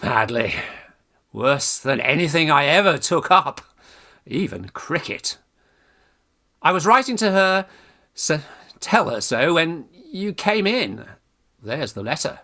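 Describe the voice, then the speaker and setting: Man as lovestruck young English student schemer for radio farce: bright, forceful, exclamatory, bossy, swooping in pitch. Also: big pitch swings, takes it slowly, pauses often, full character voice. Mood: excited, scheming. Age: young adult. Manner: exclamatory, bossy, jolly old-fashioned slang. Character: brash, scheming young Victorian Oxford undergraduate in love. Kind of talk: theatrical radio farce acting.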